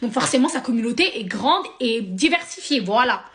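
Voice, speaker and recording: A young woman from France telling a sarcastic, animated story straight to her viewers. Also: fairly high pitch, normal speed. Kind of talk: casual storytime monologue.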